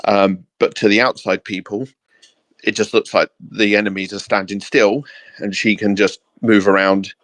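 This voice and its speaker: A man with a fairly low voice talks casually as a co-host on a geek discussion. His recording is dry, with little sense of a room. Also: little pitch movement, normal speed, a bit bright, no echo, poor audio. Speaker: channel co-host.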